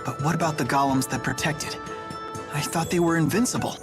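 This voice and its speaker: A man acts a keen young man from a game story. His voice sits a little high, plain-spoken and thoughtful, with an inquisitive manner. Light music plays behind. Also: fairly even intonation, a little fast, close to an everyday voice. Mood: earnest, curious. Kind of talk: English game dub acting. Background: light background music.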